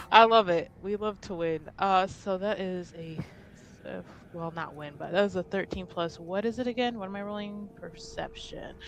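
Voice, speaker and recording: A woman's voice: mid-range voice, normal speed. A woman chatting casually as a player at a tabletop game.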